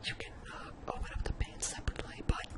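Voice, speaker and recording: A person whispering an informal ASMR coding tutorial in a breathy, unvoiced voice, hesitating and thinking aloud. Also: fast. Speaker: tutorial host.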